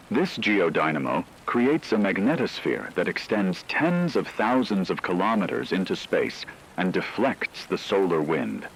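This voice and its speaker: A man playing a grave, formal radio newscaster delivering a measured, scripted emergency broadcast in a radio drama. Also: low-pitched, a little hiss or hum, low-quality recording. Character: grave male radio newscaster announcing planetary catastrophe. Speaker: broadcast announcer.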